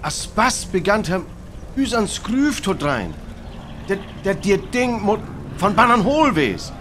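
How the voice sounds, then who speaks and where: High-pitched, urgent radio reporter played by a man. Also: big pitch swings, slow pace, fairly bright tone, a little hiss or hum, heavily acted. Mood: tense, curious. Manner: urgent, probing, dramatic. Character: excitable male radio reporter at a crash site. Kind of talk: live radio report in a radio play.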